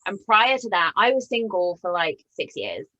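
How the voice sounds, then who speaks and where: Woman in her late twenties, bright voice, dry close sound, frank self-deprecating chat. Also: medium pitch, big pitch swings, moderate pace, dry recording, muffled recording. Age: 20s. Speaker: podcast co-host. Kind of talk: casual chat.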